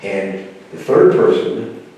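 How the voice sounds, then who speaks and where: An older man giving a classroom lecture at a whiteboard, explaining slowly with pauses and restarts, picked up by the camera mic from across a university room. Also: fairly low pitch, big pitch swings, warm tone. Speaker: Greek lecturer. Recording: echoey room, voice from far off.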